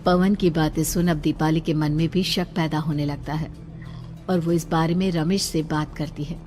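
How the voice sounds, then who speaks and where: A woman's voice: low voice, fairly even intonation, moderate pace, a little mellow. A woman narrating a scripted story in a dramatic storytelling style. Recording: music behind the voice.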